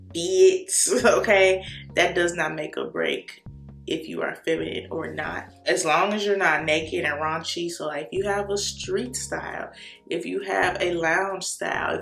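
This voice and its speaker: A young woman with a Chicago accent, talking to the camera in an energetic, slangy way and laughing often. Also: lowish voice, creaky. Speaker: vlogger. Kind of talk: casual talk to camera. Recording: echoey room with soft guitar music.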